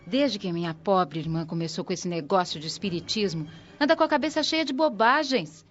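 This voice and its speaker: An actress with a Brazilian accent playing a mocking, disdainful, indignant sister in a radio soap opera. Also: female voice, mid-range voice, lively intonation, a little fast, natural voice. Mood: scornful. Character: scornful, mocking daughter deriding her sister's beliefs. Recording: muffled, dull audio.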